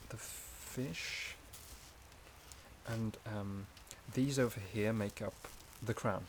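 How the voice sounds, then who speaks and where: A man playing a gentle, shy, caring forest companion in a soft-spoken ASMR roleplay, with a hesitant, slightly stammering delivery. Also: fairly low pitch, a little hiss or hum. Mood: quietly enchanted.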